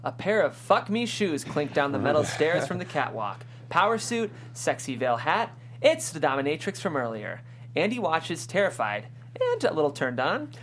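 Very low-voiced woman narrating a screenplay. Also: sing-song, moderate pace, a little hiss or hum. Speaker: narrator reading stage directions. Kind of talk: scripted screenplay narration at a table read.

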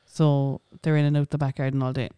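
A woman's voice: rumbling low, level tone, a little fast, mellow, smooth, no echo. An Irish woman in her late twenties, co-hosting a casual podcast chat, chatty, with lots of "like" and swearing. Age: late 20s. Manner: chatty, swearing, says "like" a lot. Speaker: podcast co-host.

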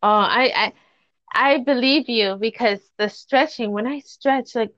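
A woman's voice: a little high, unhurried, fairly bright tone, no room sound, lo-fi sound. A woman in her forties hosting a casual podcast, friendly and talking straight to her listeners. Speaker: podcast host.

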